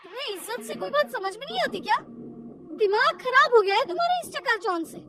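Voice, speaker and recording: Woman playing an earnest, high-voiced young husband. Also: expressive, fairly quick, heavy background noise. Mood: angry. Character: earnest young husband losing patience with his wife.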